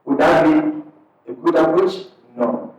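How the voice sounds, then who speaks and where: A man's voice: on the high side, darkish tone. Ghanaian man in a very echoey hall. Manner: conversational, unhurried, breaks phrases into short pieces. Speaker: imam and parish missionary. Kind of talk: addressing an audience at a community event. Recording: very echoey hall, speaker far from the mic.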